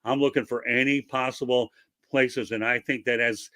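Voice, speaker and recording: A man's voice: medium pitch, fairly even intonation, moderate pace, bright, forceful tone, dry recording, studio quality. A man hosting a casual interview, talking at length in a chatty, rambling, promotional way over a video call. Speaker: interview host.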